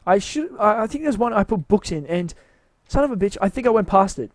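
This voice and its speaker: High-voiced tired man rambling. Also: animated, brisk, no echo. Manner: rambling, hesitant, self-mocking. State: tired, voice wearing out. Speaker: builder showing his game build. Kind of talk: casual rambling walkthrough commentary.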